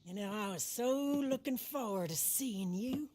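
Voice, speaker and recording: A woman's voice: a little high, lively intonation, unhurried, warm tone, a little hiss or hum. A woman playing a commanding, vengeful older criminal matriarch with a salty tongue in a dramatic game cutscene. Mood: bitter fury.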